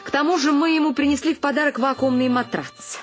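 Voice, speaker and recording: A woman's voice: sits high, fast, natural voice. An actress playing a woman who narrates her bohemian family's tragicomic life in an audio play. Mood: animated storytelling. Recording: music under the voice. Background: music.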